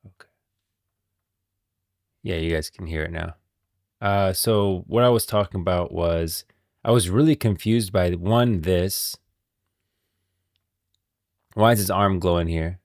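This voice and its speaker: A man's voice: low voice, slow, pauses often, darkish tone, no room sound, studio quality. A man hosting a casual livestream about game lore, talking informally and directly to his viewers. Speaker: livestream host.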